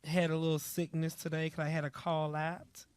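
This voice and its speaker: A man's voice: on the high side, completely flat delivery, normal speed, clear, resonant tone, no room sound. A man co-hosting a casual talk show with a playful, teasing manner. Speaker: co-host. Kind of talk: casual banter.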